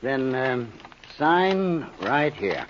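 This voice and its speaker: An American actor playing a sly small-time schemer in a 1950s radio crime drama, insinuating and full of false friendliness. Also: male voice, mid-range voice, big pitch swings, extremely slow, a little hiss or hum. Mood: sly, businesslike. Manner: smooth-talking, insinuating, mock-friendly. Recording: dull, muffled old radio recording.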